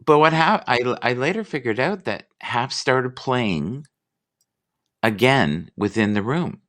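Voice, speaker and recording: A man hosting a casual live chat, relaxed and rambling, who reads inspirational quotes aloud slowly. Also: mid-range voice. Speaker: livestream host.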